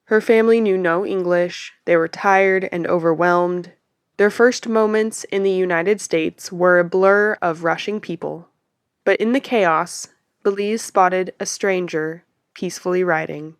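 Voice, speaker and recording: A woman's voice: fairly low pitch, a little slow, clean tone, no echo, studio quality. A woman reporter reading a scripted narrative story.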